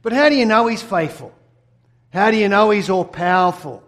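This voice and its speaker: A man's voice: high voice, expressive, normal speed, a bit bright. A middle-aged Australian man from Sydney, preaching a sermon and telling his own story earnestly, putting questions to the congregation. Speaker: pastor. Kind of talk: sermon with personal testimony.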